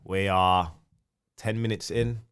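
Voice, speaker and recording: A man's voice: sits low, takes it slowly, clear, resonant tone. A young man, a podcast co-host recounting a football match with energy. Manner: energetic, builds a story step by step. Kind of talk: animated storytelling.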